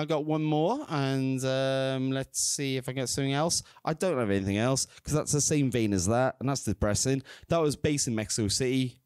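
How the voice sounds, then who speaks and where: A man in his thirties performing at an open mic, rambling and self-deprecating between slow, deliberate poem readings into a stand mic on a small indoor stage. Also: mid-range voice, a little mellow, clear, resonant tone, no echo, studio quality. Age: 30s. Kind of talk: spoken word poetry reading. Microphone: stand mic, reading from phone.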